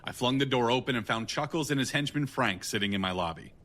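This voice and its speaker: A man's voice: mid-range voice, expressive, fast, fairly bright tone, natural voice. An actor playing a tough, wry gun for hire in a noir audio drama, blunt in his delivery. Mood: matter-of-fact. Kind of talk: audio drama acting and first-person noir narration.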